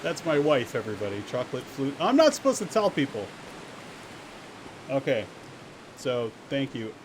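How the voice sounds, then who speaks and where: A man's voice: mid-range voice, very animated, normal speed. A man hosting a casual game stream, chatting playfully with viewers and often interrupting himself. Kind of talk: casual live stream chat. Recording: clearly noisy background.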